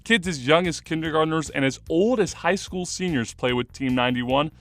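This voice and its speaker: A man's voice: on the high side, normal speed, creaky, a bit bright, faint music behind. A man reading a scripted sports radio report as the reporter.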